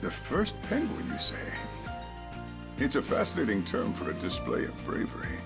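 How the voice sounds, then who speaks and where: A man's voice: a little high, wildly swooping pitch, normal speed, vocal fry, over loud music, heavily acted. An actor playing a courteous, intellectual middle-aged man in a game dub, speaking formally and theatrically. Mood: intrigued.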